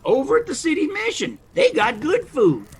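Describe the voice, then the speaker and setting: A folksy homeless street character, wily and good-hearted, in an audio drama. The voice is high and very creaky, swooping up and down with playful, mangled grammar. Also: huge pitch swings, normal speed, slight background noise, exaggerated voice. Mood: friendly, reassuring. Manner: folksy, playful, ungrammatical drawl with mangled words.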